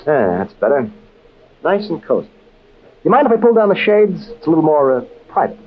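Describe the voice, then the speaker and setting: A dull, noisy vintage radio recording carries a tough American adventurer on the run. His pitch swings widely as he delivers slangy, hardboiled lines with a wry edge. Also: sing-song, a little fast. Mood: wry, flirtatious. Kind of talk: old-time radio adventure acting and first-person narration.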